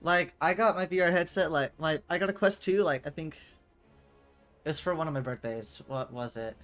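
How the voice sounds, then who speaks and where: An eighteen-year-old man casting a competitive VR shooter match alone, rambling with lots of fillers between rounds and jumping loud and high when plays happen. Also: normal speed. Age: young adult. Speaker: esports caster. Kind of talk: solo play-by-play game commentary with viewer chat. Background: game music.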